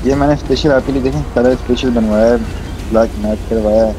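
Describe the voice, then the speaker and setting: Polite man roleplaying over loud music. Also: medium pitch, steady tone, fast talker, rough recording. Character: wealthy, generous, hospitable male businessman host. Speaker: roleplay player. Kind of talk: improvised in-character roleplay dialogue.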